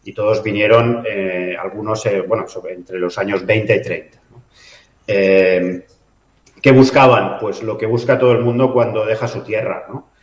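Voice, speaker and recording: A man from talks through interview answers as a mayor. He is measured and reflective, full of small pauses and fillers, and his voice rings a little in an echoey room. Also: fairly low pitch, fairly even intonation. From Catalonia, Spain. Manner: measured, thoughtful, many filler "eh" and tag "¿no?".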